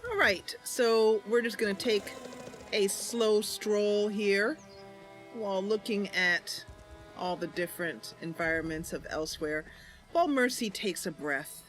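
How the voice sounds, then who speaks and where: A woman's voice: mid-range voice, unhurried. Woman live streamer, casual, over faint music. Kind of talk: casual gameplay livestream narration.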